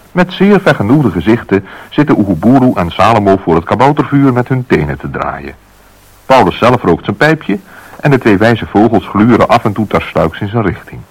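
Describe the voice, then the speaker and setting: Husky male storybook narrator. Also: mid-range voice, low-quality recording. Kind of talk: scripted radio play narration.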